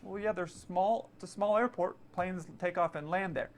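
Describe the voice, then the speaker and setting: A man's voice: sits high, steady tone, moderate pace. A man giving casual, opinionated commentary, explaining aviation procedures in plain terms. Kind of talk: explanatory commentary on air traffic radio.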